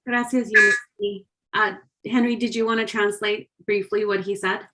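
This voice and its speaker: A woman's voice: medium pitch, steady tone, moderate pace, pushed and bright. A woman moderating a media conference call in a polite, orderly way.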